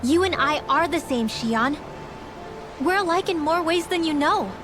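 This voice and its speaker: A young mage girl from a video game, loyal and earnest. Her voice sits high and she takes her time over the words. Music plays clearly behind her. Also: lively intonation, measured pace. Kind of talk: video game cutscene voice acting.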